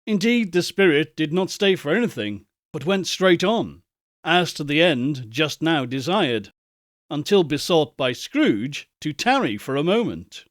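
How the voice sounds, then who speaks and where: Slow, theatrical male storyteller. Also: fairly high pitch, very animated, dry recording, clean studio sound. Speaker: narrator, audiobook reader. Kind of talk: dramatic reading of a Victorian ghost story.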